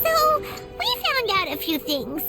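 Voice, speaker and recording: A woman voicing a small, excitable floating fairy companion in a video game who speaks bluntly and refers to herself in the third person. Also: extremely high pitch, measured pace, music in the background, heavily acted. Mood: explaining.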